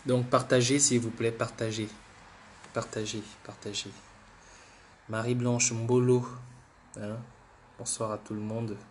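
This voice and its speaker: A man hosts a political livestream while fighting the flu. His voice sits at an ordinary middle pitch, with a faint hiss of noise behind it. He talks in loops, restarting words and pressing his viewers again and again. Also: flat delivery, unhurried, pauses often. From Gabon. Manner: repetitive, restarts words, keeps urging viewers. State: has the flu. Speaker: livestream host.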